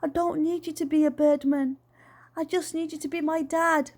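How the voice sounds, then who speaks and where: A woman voicing a gentle, sensible young daughter in a storybook, speaking softly and earnestly. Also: high voice, level tone, normal speed, pitched above the performer's own voice, natural voice. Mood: tender, pleading. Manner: soft, earnest.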